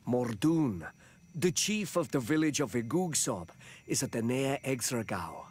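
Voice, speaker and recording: A man's voice: mid-range voice, lively intonation, unhurried, a little mellow, a little hiss or hum, close to an everyday voice. A man playing an earnest, dignified village chief in a video game, negotiating in a formal, persuasive way. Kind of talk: scripted video game cutscene dialogue.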